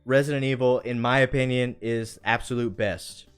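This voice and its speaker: A man's voice: medium pitch, steady tone, normal speed, smooth. A man hosting a live stream in casual chat, enthusiastic and slangy, with music behind him.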